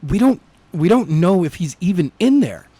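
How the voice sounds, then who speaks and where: A man's voice: high voice, sing-song, moderate pace, warm tone, slightly rough, no room sound, voice pushed up, heavily acted. A man voicing a conscientious, hesitant young hero, reasoning earnestly in an amateur audiobook reading. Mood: resolute.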